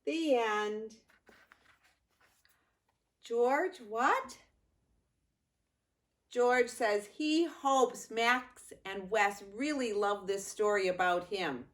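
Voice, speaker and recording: An older woman reading a picture book aloud to young grandchildren, expressively, with playful asides to the listeners. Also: on the high side. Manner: expressive, unhurried, addressing small children.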